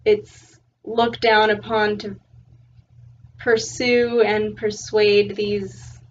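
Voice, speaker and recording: A woman's voice: mid-range voice, level tone, drawn-out words. A young woman from California, a student, speaks as a guest in a casual chat, thoughtful with some fillers. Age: young adult. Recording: distant dull lo-fi mic with faint hiss.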